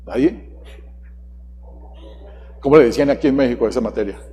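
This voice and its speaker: A man's voice: medium pitch, fairly quick, dark tone. A man giving a keynote talk at a conference, asking the audience questions. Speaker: conference presenter. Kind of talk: keynote presentation. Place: large conference hall. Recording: long hall reverb.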